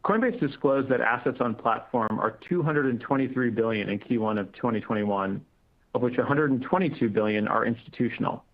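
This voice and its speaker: A man's voice: mid-range voice, a little fast. A man acting as moderator, reading scripted investor questions in a formal, businesslike way. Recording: narrow tinny phone-line conference call.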